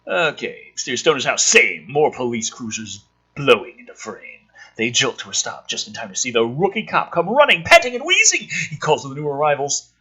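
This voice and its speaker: Male video host, husky and very forceful, lively pitch swings, theatrical reads mixed with sardonic, cursing commentary. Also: brisk. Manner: sweary, sardonic asides; theatrical, animated delivery. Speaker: video host reading a film script aloud. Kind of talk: dramatic script reading with casual commentary.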